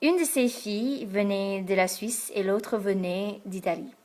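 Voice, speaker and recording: A young American woman from Texas, a French learner speaking to the camera in a careful, hesitant way with many pauses and fillers. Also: mid-range voice, a little mellow. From Texas, United States. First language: English. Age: young adult. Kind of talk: casual talk to camera. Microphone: camera in front of her.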